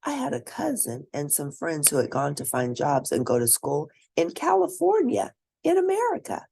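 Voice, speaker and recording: Female storybook narrator, gravelly and husky, lively pitch movement, deliberate delivery, close dry recording. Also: no echo. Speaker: read-aloud narrator. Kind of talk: scripted storybook reading in first person.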